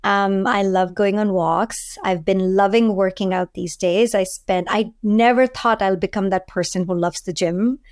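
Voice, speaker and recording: A woman in her forties with an Indian accent speaks in casual interview style. Her voice sits at a medium pitch, and the recording is dry, with very little room sound. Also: steady tone, measured pace, hardly any pauses, a little mellow, no room sound. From Delhi, India. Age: 40s. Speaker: podcast guest, food entrepreneur.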